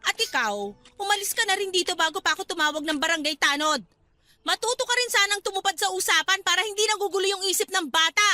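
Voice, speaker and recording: An actress playing a hostile, scolding Filipino aunt in a radio drama, snappish and scornful. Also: female voice, very high voice, expressive, quick pace, bright, forceful tone, soft background music, exaggerated voice. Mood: angry, scornful. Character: hostile, scolding aunt guarding her house.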